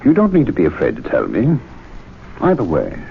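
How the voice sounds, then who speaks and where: An actor playing a chatty, prying club member in a 1970s radio drama. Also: male voice, low voice, huge pitch swings, brisk, creaky, a little hiss or hum, full character voice. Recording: thin phone-like old radio recording.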